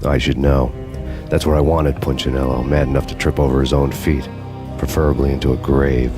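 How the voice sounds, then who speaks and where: An actor playing a brooding, sardonic hard-boiled cop, narrating a noir game in a world-weary deadpan. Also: male voice, extremely low pitch, a little fast, music in the background. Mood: grim, brooding. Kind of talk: film noir scripted narration.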